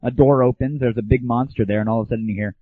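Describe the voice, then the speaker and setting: A man's voice: mid-range voice, steady tone, very fast, dry recording. Male show host, phone-like lo-fi sound, crude and sarcastic, informal solo griping about games. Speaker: host of a gaming audio show. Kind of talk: informal solo rant and commentary. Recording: dull lo-fi 1990s internet audio, phone-like.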